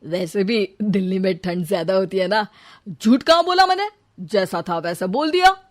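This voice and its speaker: A woman's voice: mid-range voice, expressive, moderate pace, no room sound, clean studio sound, lightly acted. A woman voicing a boastful young male groom in a comic story, blustering and stammering out excuses. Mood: defensive, evasive. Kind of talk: animated storybook comedy acting.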